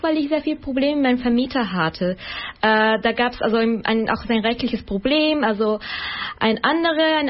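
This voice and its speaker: A young woman from Ecuador, a radio colleague, talking casually and hesitantly in German with many fillers and restarts. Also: on the high side. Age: young adult. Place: radio studio. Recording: dull lo-fi radio sound.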